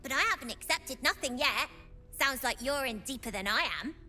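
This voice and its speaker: Game role: scrappy defiant girl ringleader, bright and forceful, very animated pitch, music underneath. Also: normal speed, full character voice. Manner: cheeky, informal street grammar. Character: scrappy, defiant streetwise young gang-leader girl. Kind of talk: video game character acting. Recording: music behind the voice.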